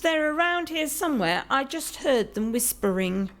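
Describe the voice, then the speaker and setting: An actress playing a sharp-tongued, wry servant woman in an audio play. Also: high-pitched, sing-song, normal speed, heavily acted. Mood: wry, brisk. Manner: tart, wry.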